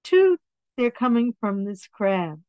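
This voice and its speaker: Slow, animated woman reading picture books. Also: a little high, dry recording, lo-fi sound. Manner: expressive, acts out words and dialogue. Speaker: storyteller reading children's books aloud. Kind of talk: picture-book read-aloud with asides on the pictures.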